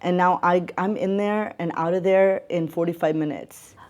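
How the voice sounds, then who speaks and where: A woman's voice: lowish voice, steady tone, normal speed, a little mellow. A woman in her late thirties, chatty and upbeat, talking casually to camera in a home vlog. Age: 30s. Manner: chatty, upbeat, laughs often. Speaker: vlogger. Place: home in the Philippines with roosters heard.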